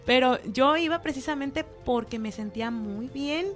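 High-voiced chatty Mexican woman host. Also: normal speed, over music. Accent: Mexican Spanish. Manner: chatty, personal anecdotes, addresses audience as friends. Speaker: show host. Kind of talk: casual talk show chat.

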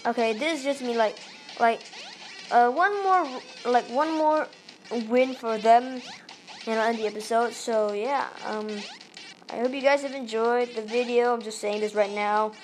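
A high-voiced woman with a Malaysian accent, talking at an unhurried pace between bursts of excited yelps at a game she is playing. She records straight into a tablet held up to her face, with faint game music playing behind her. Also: low-quality recording. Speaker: gaming channel host. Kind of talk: let's play commentary. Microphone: tablet microphone held to face.

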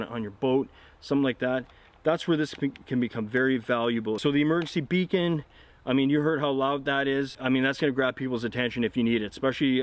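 A middle-aged man reviewing a product in a casual, conversational, explanatory way. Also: mid-range voice.

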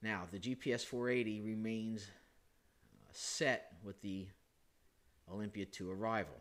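A man, hoarse from a chest cold, hosting a screen-recorded flight simulator how-to, talking it through casually with self-corrections and filler sounds. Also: fairly low pitch, unhurried. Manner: relaxed, thinking aloud with frequent uh and self-corrections. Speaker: flight sim hobbyist host.

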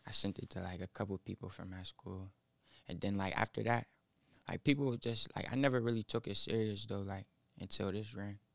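A nineteen-year-old man, a rapper guest in a small studio, speaking haltingly into a boom mic with many fillers. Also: fairly even intonation, gravelly, no echo. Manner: halting, mumbled, full of fillers. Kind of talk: casual interview. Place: small studio with foam wall. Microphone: studio mic on boom arm, headphones.

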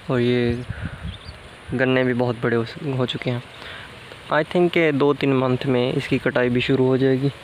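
Young male vlogger with a flat, even delivery, giving easygoing explanations and slipping English words into his speech, recorded outside on a handheld phone. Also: mid-range voice. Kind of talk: casual walking vlog narration. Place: outdoors among sugarcane fields and dirt paths. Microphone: phone camera held at arm's length. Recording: outdoor phone audio with clear background noise.

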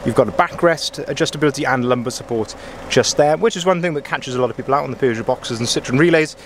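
A sales manager walking around a van outdoors and talking it through, chatty, often repeating himself and saying "as I say", recorded on a handheld camera. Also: male voice, mid-range voice, fast, a little hiss or hum. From Wales. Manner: chatty, filler-heavy, repeats "as I say". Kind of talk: walkaround vehicle review. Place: outdoor van yard, then inside a van cab. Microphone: handheld camera held by the speaker.

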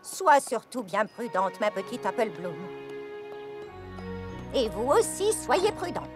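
High, swooping old granny pony. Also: female voice, very animated, takes it slowly, music in the background. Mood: fussy, caring. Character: elderly grandmother pony, fussy, folksy farm matriarch. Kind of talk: animated series voice acting.

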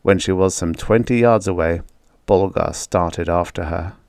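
A man with a low voice narrates an old short story in a dramatic storytelling manner. The sound is dry, without room echo, and the delivery is that of a scripted audiobook. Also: a little slow, gravelly, darkish tone.

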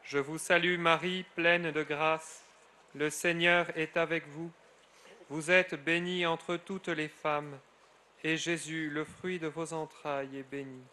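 A middle-aged friar leading solemn prayer in a measured cadence, his phrases falling away at the end. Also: fairly high pitch, little pitch movement, bright, forceful tone, slight background noise. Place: outdoors at a grotto shrine.

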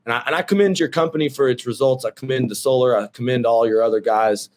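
Excitable guy mid 30s, webcam in his office, says dude and bro a lot. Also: medium pitch, quick pace. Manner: brash, excitable, swears freely, calls people dude and bro. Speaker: roofing company owner and host. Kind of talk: casual video-call chat. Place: office with shelves.

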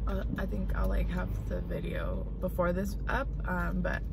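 A woman's voice: sing-song, moderate pace. Chatty young woman vlogging from her car. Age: young adult. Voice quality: husky, slightly low voice. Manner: chatty, rambling, laughs at herself. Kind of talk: casual driving vlog. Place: inside a parked or moving car. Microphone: phone camera on the dashboard. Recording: distant phone mic with road and car noise.

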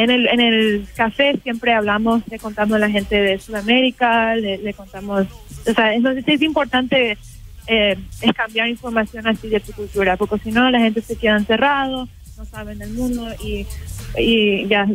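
A woman's voice: fairly high pitch, fairly even intonation, moderate pace, fairly bright tone, soft background music, low-quality recording. Young woman talking hesitantly by phone. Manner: hesitant, mixing in English words. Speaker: café owner, phone guest. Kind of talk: casual phone interview. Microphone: phone line.

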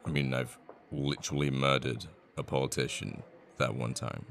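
A man playing a cocky assassin boyfriend in an intimate ASMR audio roleplay, murmuring and sweary, with sighs and pauses. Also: a bass voice, little pitch movement, vocal fry, fairly bright tone, slight background noise, exaggerated voice. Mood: cocky, irritated. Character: cocky young male assassin, flippant, menacing, secretly a devoted boyfriend.

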